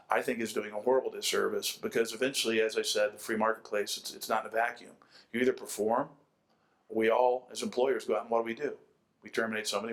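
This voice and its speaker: A man's voice: on the low side, fairly bright tone, rough recording. A man, likely middle-aged, speaking as a panelist, making an extended and opinionated argument and repeating key phrases for emphasis.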